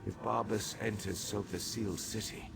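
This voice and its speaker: A man playing a weakened living god in a fantasy video game, solemn, weary and labored, with a gravelly voice. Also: fairly low pitch, fairly even intonation, normal speed, noisy background. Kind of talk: video game dramatic acting.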